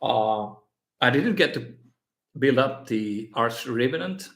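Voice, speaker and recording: Finnish man hosting a relaxed live Q&A at home; mid-range pitch, halting delivery with frequent restarts. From Finland. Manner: hesitant, many fillers and restarts. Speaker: miniature painting streamer. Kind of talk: casual live stream Q&A.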